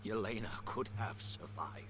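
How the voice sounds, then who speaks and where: An actor playing a remorseful, ailing gentleman in a video game, measured and earnest. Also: on the low side, noisy background, close to an everyday voice. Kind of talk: dramatic video game acting.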